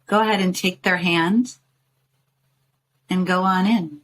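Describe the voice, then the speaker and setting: A woman leading a guided visualization in a soothing, gently coaxing way, with short phrases and pauses. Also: on the low side, fairly even intonation. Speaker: meditation guide and show host.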